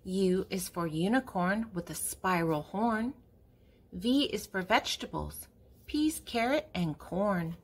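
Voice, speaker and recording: Older woman, husky, reading to children. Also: mid-range voice, animated, takes it slowly. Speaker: children's book reader and channel host. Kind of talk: read-aloud of a children's picture book.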